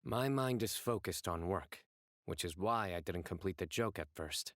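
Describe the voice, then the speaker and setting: An actor playing a composed young investigator in a video game, deadpan and formal, dryly proud of his puns. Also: sits low, no room sound, clean studio sound. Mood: serious. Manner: deadpan, measured, formal phrasing.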